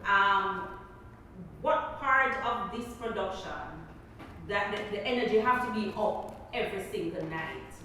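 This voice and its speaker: A woman's voice: medium pitch, measured pace, sharp tone. Distant, very echoey Jamaican woman interviewer. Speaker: podcast host, interviewer. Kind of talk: conversational interviewing. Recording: very echoey, distant mic, faint noise.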